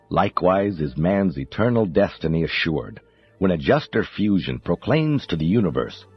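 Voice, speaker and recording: A man reading a spiritual text as a professional audiobook narrator, in a solemn, measured, declamatory manner. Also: deep voice, breathy edge. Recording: dull muffled audio under music. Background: background music.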